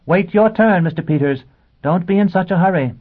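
Man voicing a gentle, proper lady teacher, pitched up. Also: fairly quick, natural voice. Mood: brightly commanding. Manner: careful, polite, proper diction. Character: gentle, anxious, well-bred young woman teacher. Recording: thin, phone-like band-limited sound.

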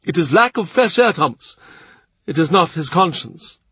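A proud old cardinal, wry and dismissive, speaking in a slightly husky, lifted voice, as a man reads him aloud. The sound is close and dry, with no room around it. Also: a little high, lively intonation, normal speed, a bit bright, dry recording, pitched above the performer's own voice, heavily acted. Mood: amused.

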